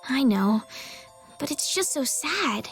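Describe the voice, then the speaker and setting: A high, lilting young noblewoman in a fantasy game. She is sweet, polite and earnest, speaking tenderly with lots of melody. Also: sing-song, slow pace, soft background music, heavily acted. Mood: gentle, earnest. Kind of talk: English game dub voice acting.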